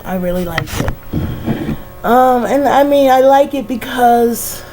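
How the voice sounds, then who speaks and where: A middle-aged woman with a head cold, musing slowly and casually, sighing and laughing softly between many "you know"s. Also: a little high, expressive, over music, rough recording. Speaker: vlogger. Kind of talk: casual reflective monologue.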